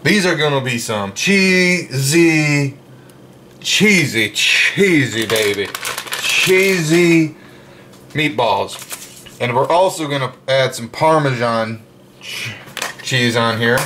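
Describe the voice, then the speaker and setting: A man talks through his home cooking at an unhurried, drawn-out pace, casual and chatty with frequent fillers. He speaks at an everyday middle pitch. He's picked up by the camera mic in a kitchen with a faint hum of noise behind him. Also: expressive, pushed and bright. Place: home kitchen with open windows.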